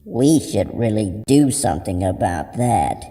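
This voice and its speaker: A man voicing a foul-mouthed, murderous talking tooth in a horror story, with a gravelly voice and a slow, taunting delivery. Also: animated, mellow. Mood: crude, conspiratorial. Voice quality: low, gravelly. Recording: long cavernous reverb on the voice. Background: music.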